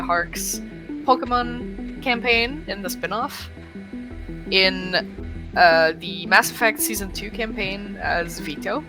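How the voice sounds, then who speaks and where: A man from Quebec with a French Canadian accent, French his first language, introducing himself hesitantly with lots of pauses. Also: high voice. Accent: Québécois French. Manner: hesitant, many uhs, giggly. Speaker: co-op player. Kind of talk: casual self-introduction. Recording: guitar music playing underneath.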